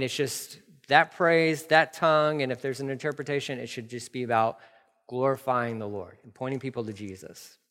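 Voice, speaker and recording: A man preaching a sermon as pastor, telling stories in a lively, conversational way. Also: fairly high pitch. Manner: storytelling, animated and conversational. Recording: long hall reverb.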